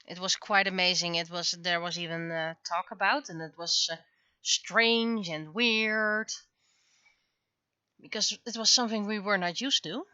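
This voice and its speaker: A woman from the Netherlands, chatting casually and haltingly on a livestream as she draws, thinking aloud. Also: fairly low pitch, steady tone, pushed and bright, clean tone, no echo, lo-fi sound. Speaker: livestreaming webcomic artist. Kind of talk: casual livestream chat while drawing.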